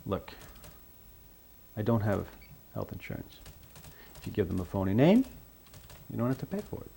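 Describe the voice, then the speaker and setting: An actor playing an evasive, defensive son under police questioning, halting and defiant, in a naturalistic film drama. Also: male voice, on the low side, very animated, gravelly, mellow, a little hiss or hum.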